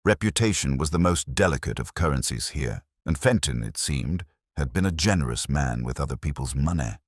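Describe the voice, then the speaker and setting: A man reading first-person mystery narration in a measured, brooding, literary manner. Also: extremely low pitch, animated, slightly rough, no room sound, studio quality.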